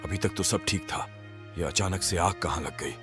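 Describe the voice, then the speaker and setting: A man's voice: sits low, moderate pace, music in the background, close to an everyday voice. A man playing a humble, worried street food vendor and family man in a moral-story cartoon, speaking earnestly. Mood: despairing.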